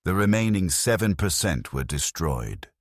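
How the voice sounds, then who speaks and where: An extremely low-voiced man narrates a dark science-fiction tale with a husky rasp. His delivery is grave and measured, yet the pitch rises and falls dramatically. The recording is close and dry. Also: big pitch swings, dry recording. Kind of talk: scripted first-person dramatic audiobook narration.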